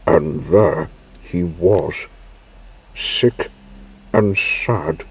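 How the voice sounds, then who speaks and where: Very slow, husky, low-voiced male storyteller. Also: darkish tone. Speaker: storyteller reading a children's book aloud. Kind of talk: slow read-aloud children's story narration.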